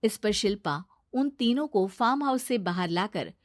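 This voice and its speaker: A woman's voice: mid-range voice, lively intonation, normal speed, dry recording, studio quality. A woman narrating a scripted children's story.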